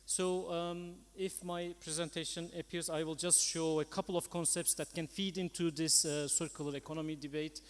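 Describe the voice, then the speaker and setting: A man's voice: a little high, little pitch movement, moderate pace, hardly any pauses. A man chairing a conference session, speaking politely and hesitantly in semi-formal, unscripted remarks. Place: large conference hall. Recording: echoey hall with long reverb.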